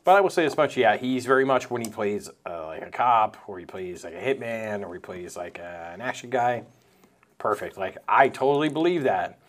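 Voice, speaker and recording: A man from New Hampshire co-hosting a podcast, talking in a relaxed, joking way and often trailing off. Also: fairly low pitch, normal speed. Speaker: podcast co-host. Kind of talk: casual chat.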